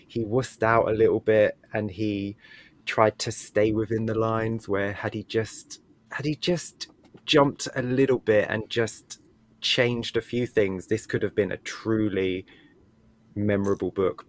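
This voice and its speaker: A man with a fairly low voice talks as a panelist in a casual book club discussion. He is informal and blunt, laying out his opinions plainly.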